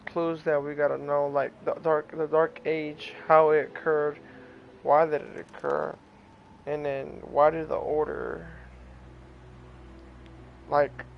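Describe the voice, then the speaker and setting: A young man chatting casually on a game livestream, halting and repeating himself in slangy speech. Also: a little high, creaky, highs cut off, a little hiss or hum. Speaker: game streamer.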